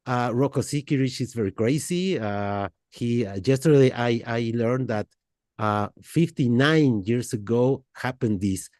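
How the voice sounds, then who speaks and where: A man from Mexico, hosting a podcast interview in a halting way, full of fillers and restarts. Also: medium pitch, a little mellow, no room sound, studio quality. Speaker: podcast host. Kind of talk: casual interview chat.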